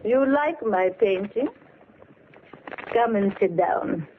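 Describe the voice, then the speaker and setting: An actress playing a mysterious, seductive Russian woman painter in 1940s radio mystery style, slow and teasing. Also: mid-range voice, expressive, a little hiss or hum. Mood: calm, ominous. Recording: muffled vintage radio recording, faint music.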